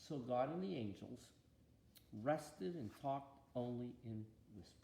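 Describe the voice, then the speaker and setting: A man reading a children's storybook aloud to a listener in an expressive way, with deliberate pauses and gentle asides. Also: medium pitch, gravelly. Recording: roomy echo, faint background noise.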